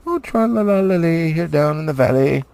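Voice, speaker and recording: A man's voice: fairly high pitch, animated, moderate pace, gravelly, darkish tone, dry recording, pitched above the performer's own voice. A man voicing merry, teasing woodland elves from a storybook in a playful, singsong way. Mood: merry singing. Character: merry, teasing, singing male elves of the woods. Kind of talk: storybook character voice.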